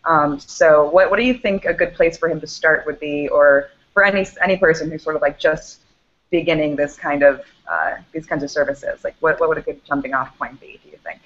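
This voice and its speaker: A woman's voice: low voice, fairly even intonation, brisk. A woman co-hosts a casual live web show. She phrases questions informally and with some hesitation. The audio is a little dull, like a video call. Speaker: co-host relaying viewer questions.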